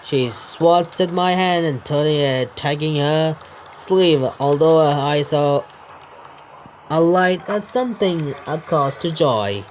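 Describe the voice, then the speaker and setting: A man narrates a visual novel as he plays. His voice sits a little high, and he trips over and repeats words, adding asides. The home recording has faint noise. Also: slow. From India. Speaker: gaming YouTuber reading a visual novel aloud. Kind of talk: read-aloud game narration with asides.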